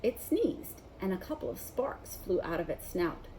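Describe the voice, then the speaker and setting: Animated woman reading a story aloud. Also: fairly low pitch, moderate pace, a little hiss or hum, low-quality recording. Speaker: storybook reader. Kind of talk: expressive read-aloud narration.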